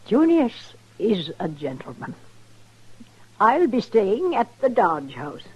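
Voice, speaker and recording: An imperious old society matron, speaks in formal, genteel phrases. Her husky voice swoops up and down with condescension. It sounds like vintage radio drama. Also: mid-range voice, very animated, slow, darkish tone, heavily acted. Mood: haughty, insistent. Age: older.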